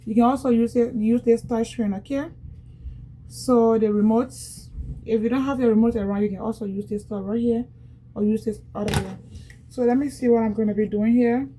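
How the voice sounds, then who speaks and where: A woman's voice: fairly high pitch, steady tone, moderate pace, warm tone, slightly rough, poor audio. Chatty Liberian woman vlogging. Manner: chatty, repetitive, talks straight to viewers. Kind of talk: casual product unboxing vlog.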